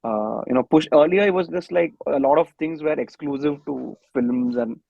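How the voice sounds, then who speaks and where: A man with an Indian accent giving halting, casual interview answers as a musician, repeating words, over a thin phone-like connection. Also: a little high, gravelly, dry recording. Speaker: band musician, interview guest.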